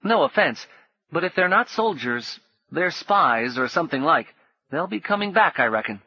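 A high, bouncing boy's voice, put on by a man reading a storybook. He talks cocky street slang while covering his fear. The audio is dull and muffled. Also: sing-song, normal speed, breathy edge, full character voice. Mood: thoughtful. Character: scrappy young boy, bold but frightened.

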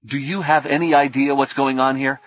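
A man voicing a feverish, frightened young hero with a wry edge, in a husky voice. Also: mid-range voice, fairly even intonation, a little fast, no echo, dull audio, voice pushed up, lightly acted.

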